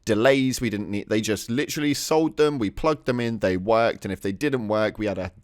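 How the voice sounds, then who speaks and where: A man's voice: on the low side, brisk, no echo, clean studio sound. A man co-hosting a casual gaming podcast, bantering and laughing easily. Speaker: podcast co-host.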